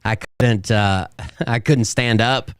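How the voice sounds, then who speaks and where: A man hosting his own live show, chatting casually and rambling, his voice hoarse and fading from a sore throat. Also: mid-range voice, slow, no echo, clean studio sound. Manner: rambling, self-mocking. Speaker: host. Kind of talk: casual live-stream talk.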